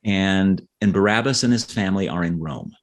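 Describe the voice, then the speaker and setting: A man speaking as an author guest in a conversational interview, explaining in a halting way and often repeating words. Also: sits low. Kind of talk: conversational interview, explaining history and his novels.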